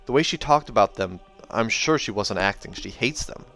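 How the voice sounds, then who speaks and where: A man's voice: lowish voice, animated, moderate pace, a bit bright, faint music behind. Man voicing an insistent, loyal young man. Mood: earnest. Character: earnest, loyal young man defending a friend.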